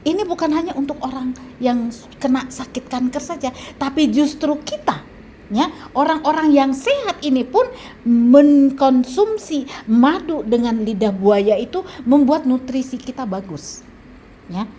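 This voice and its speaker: Indonesian lady in her 70s, slightly high voice, lots of ums and restarts, chatting about natural remedies. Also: animated, dark tone, a little hiss or hum. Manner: halting, with frequent fillers and restarts. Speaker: health vlogger. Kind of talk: casual advice talk.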